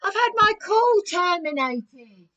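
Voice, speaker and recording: A woman's voice: extremely high pitch, expressive, takes it slowly, pushed and bright, muffled recording. A distressed woman making a complaint as a caller, agitated and insistent, repeating her phrases.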